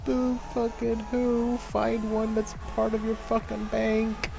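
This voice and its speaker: A man's voice: high voice, completely flat delivery, slow pace, vocal fry, rough recording. An American man co-hosting a casual chat with teasing, sarcastic interjections. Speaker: podcast co-host. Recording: background music throughout. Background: music.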